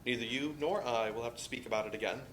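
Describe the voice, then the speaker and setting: A man's voice: mid-range voice, fast, a bit bright, a little hiss or hum, natural voice, rough recording. A man acting a stern, by-the-book office boss in a dramatic play reading.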